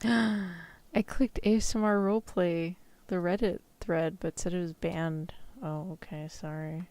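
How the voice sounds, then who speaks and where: A woman's voice: lowish voice, steady tone, unhurried, darkish tone, dry recording. A woman streamer chatting casually with her viewers, her throat a little sore. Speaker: VTuber streamer. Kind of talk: casual livestream chat.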